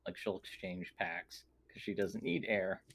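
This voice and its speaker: A man's voice: low voice, unhurried, creaky, a bit bright, a little hiss or hum. A man speaking casually as a player in a tabletop roleplaying game. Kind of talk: casual chat.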